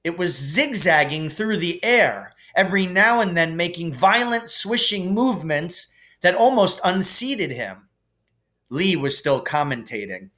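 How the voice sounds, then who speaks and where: A man reading a children's novel aloud as expressive narration. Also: sits high, unhurried. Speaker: reader.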